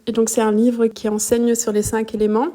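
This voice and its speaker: A middle-aged woman from Belgium, a native German speaker, telling her story calmly and thoughtfully in a podcast interview. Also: a little high, normal speed. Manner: calm, thoughtful, searching for words. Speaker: guest, shiatsu practitioner.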